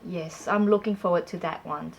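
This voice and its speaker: A woman co-hosting a talk segment, speaking clearly and prompting her partner with questions. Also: lowish voice, fairly even intonation, moderate pace, heavy background noise. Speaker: co-host. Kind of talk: scripted conversational presenting.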